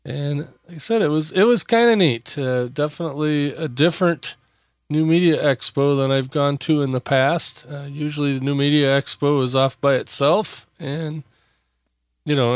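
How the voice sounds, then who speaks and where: A man hosting a podcast in a casual solo chat, a bit hoarse from a voice that is still coming back, rambling with lots of pauses and restarts. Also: medium pitch, no room sound, clean studio sound. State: hoarse, recovering from lost voice. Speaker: podcast host.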